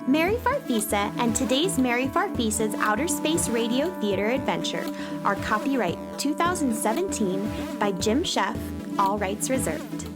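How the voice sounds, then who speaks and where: A woman's voice: a little high, big pitch swings, moderate pace, loud music behind the voice. A woman playing a cheerful, earnest space adventurer telling her tale in a children's radio play.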